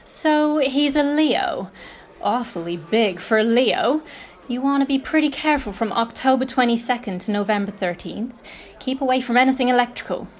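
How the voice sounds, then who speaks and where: A woman's voice: on the high side, normal speed, natural voice. A woman playing a blunt, tactless fortune-teller in a radio play, brusque and matter-of-fact with dry gloom. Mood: blunt, nosy.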